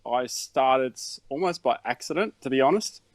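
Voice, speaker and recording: A man's voice: medium pitch, animated, normal speed, dry recording. Laid-back Danish-born man telling stories. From Denmark. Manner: laid-back storytelling with fillers and chuckles. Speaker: interview guest, YouTuber. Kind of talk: casual chat.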